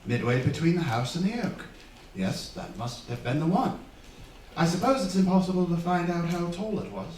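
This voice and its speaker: A man acts out a commanding, sharp-witted detective with formal, authoritative speech and a very animated melody. The radio-play performance sounds set back from the microphone in a roomy space, with faint noise underneath. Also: high voice, moderate pace. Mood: analytical, intent. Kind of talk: theatrical radio drama acting. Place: theatre stage, live performance. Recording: roomy, distant stage miking, faint hiss.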